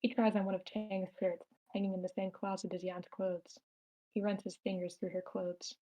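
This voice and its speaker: A woman's voice: mid-range voice, little pitch movement, normal speed, highs cut off. A woman narrator reading screenplay stage directions aloud.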